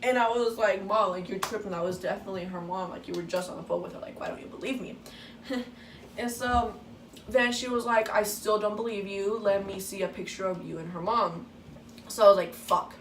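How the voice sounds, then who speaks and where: A woman's voice: mid-range voice, brisk. A teenage girl casually telling a story, swearing freely and acting out what people said. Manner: casual, swears freely, acts out quoted dialogue. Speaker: vlogger. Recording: echoey room, distant mic, faint noise.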